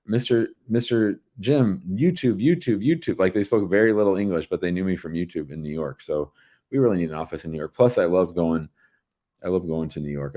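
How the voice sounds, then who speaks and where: A man with an American accent and a distinctly low voice hosts a live show. He talks casually and informally to callers and to the people watching. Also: dark tone. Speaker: host of a live call-in show.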